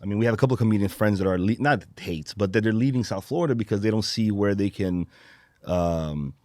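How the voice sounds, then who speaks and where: A man's voice: fairly low pitch, quick pace, darkish tone, dry recording, clean studio sound. A man from Brazil, a comedian hosting a podcast, chatting casually and explaining at length in an informal way. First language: Portuguese. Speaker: podcast host and stand-up comedian.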